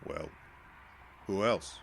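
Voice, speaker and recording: An American man playing a bitter, paranoid ex-soldier in an audio drama, curt and brooding with folksy rural grammar. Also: drawn-out words. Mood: guarded. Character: bitter, paranoid male ex-soldier, gruff and defensive.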